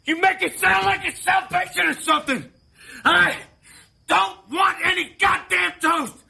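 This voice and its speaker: Casting: combative hard-drinking brother in a stage drama, very high-pitched, gravelly, agitated. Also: male voice, a little slow, sharp tone, raised voice, full character voice. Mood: heated, shouting. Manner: agitated, argumentative, swearing. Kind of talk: stage drama acting, raw and naturalistic. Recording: filmed stage play with faint noise.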